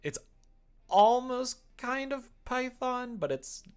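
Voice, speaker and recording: A creaky-voiced livestreamer chatting casually and thinking aloud while coding, rambling, muttering and a little self-deprecating. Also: mid-range voice, expressive, slow. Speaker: livestreamer making a game.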